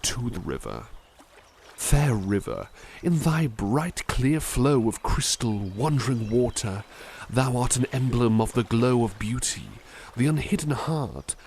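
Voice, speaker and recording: A man voicing a kind-hearted, caring butler, reading poems softly and musing gently between them in a close, intimate roleplay. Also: mid-range voice, lively intonation, measured pace, slight background noise. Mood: reciting. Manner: soft-spoken, gentle, reflective asides. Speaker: ASMR roleplay performer. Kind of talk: ASMR roleplay poetry reading.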